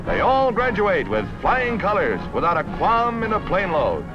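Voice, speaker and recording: A man's voice: high-pitched, wildly swooping pitch, normal speed, highs cut off, over music. An American man narrating a 1950s newsreel in a polished, dramatic, declamatory style on a vintage soundtrack. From United States.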